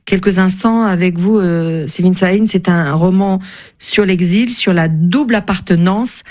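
A woman radio host who asks her author guest measured, articulate questions. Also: mid-range voice, darkish tone.

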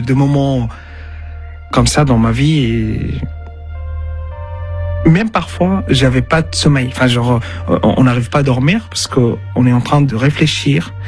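A man from Sudan tells his own story as an interview guest, in French that is not his first language. His voice sits at a middling pitch, and he searches earnestly for words. Faint music is behind him. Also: darkish tone. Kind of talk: personal testimony in an interview.

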